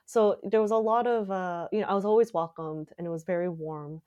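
A woman's voice: fairly low pitch, fairly quick, a little mellow, muffled recording. A young woman from Canada, a playwright, talking casually in an interview with many filler words. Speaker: playwright guest. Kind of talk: conversational interview.

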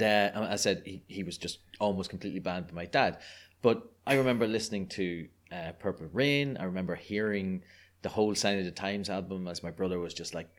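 This is an Irish man in his thirties with a notably low voice, chatting as a guest on a podcast. He tells his stories in a loose, self-mocking, anecdotal way. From Ireland. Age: 30s. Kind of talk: casual chat.

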